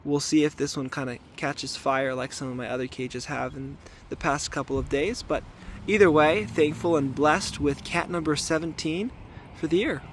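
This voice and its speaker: A man with a raspy voice today, talking casually and thoughtfully to a camera while checking traps outdoors, with filler ums and restarts. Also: fairly high pitch, fairly even intonation, moderate pace, background noise. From southern Arizona. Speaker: trapper filming his trapline. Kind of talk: casual outdoor vlog narration. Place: outdoors in desert washes.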